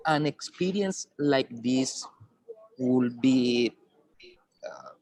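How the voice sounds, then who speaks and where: Halting man with an Italian accent. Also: mid-range voice, darkish tone, dry recording, low-quality recording. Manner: halting, searching for English words. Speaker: program organizer. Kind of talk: casual online panel chat.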